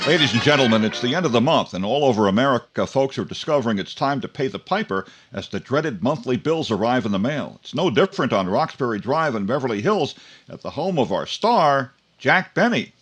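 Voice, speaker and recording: A man's voice: medium pitch, very animated, a little fast, breathy edge. A man playing a jovial, grand radio announcer in a polished, emphatic 1950s style. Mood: grand, upbeat. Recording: loud music underneath.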